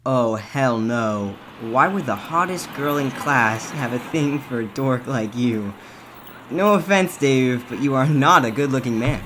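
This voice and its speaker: A man's voice: medium pitch, expressive, unhurried, fairly bright tone, a little hiss or hum. A man playing a blunt, teasing teenage best friend in a comic dub, talking in slang. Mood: mocking.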